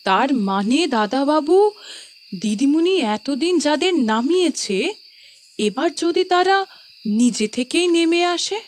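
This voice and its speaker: An actress playing an elderly, devoted maidservant who frets and grumbles in a folksy, superstitious way in an audio horror drama. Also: female voice, high voice, animated, slow pace. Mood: fearful. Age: older.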